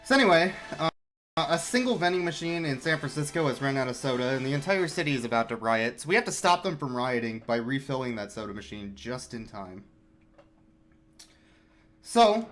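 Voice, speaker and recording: A man giving relaxed, chatty live commentary on his speedrun, explaining strategy as he plays. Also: fairly high pitch, background noise.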